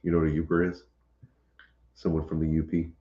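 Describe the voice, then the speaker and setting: Cajun homestead host: very low, easygoing voice in a roomy, muffled recording. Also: male voice, monotone, brisk. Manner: easygoing, chuckles often, occasional coughs. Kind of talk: casual livestream chat. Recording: echoey room, dull lo-fi sound.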